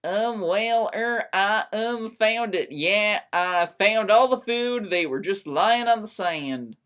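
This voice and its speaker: A woman's voice: mid-range voice, lively intonation, slow, a bit bright. A woman voicing a gushing, scheming teenage fangirl in an amateur fanfiction reading, stammering eagerly. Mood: flustered, eager.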